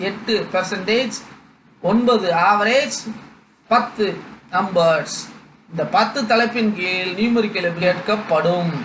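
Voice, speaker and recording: Casting: mature male coaching teacher, Tamil accent, high voice, didactic delivery, echoey recording. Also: pushed and bright. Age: middle-aged or older. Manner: didactic, reading out numbered lists with frequent check-in questions. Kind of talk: instructional lecture to students. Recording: echoey room with background noise.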